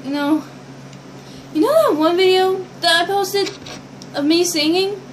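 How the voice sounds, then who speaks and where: A woman's voice: very high-pitched, unhurried. A young teenage girl chatting casually and ramblingly to the camera while showing toys, recorded on a built-in device mic in a bedroom. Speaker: vlogger showing toy builds. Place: small bedroom, indoors. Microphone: built-in device mic at arm's length. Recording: noisy amateur webcam audio.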